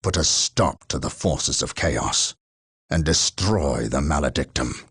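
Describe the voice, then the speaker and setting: Very deep-voiced, grave military commander. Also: male voice, expressive, fairly bright tone, breathy edge, no room sound, studio quality, heavily acted. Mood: solemn resolve. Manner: solemn, measured, authoritative. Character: grave, resolute space marine commander. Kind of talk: video game cutscene briefing narration. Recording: faint music underneath.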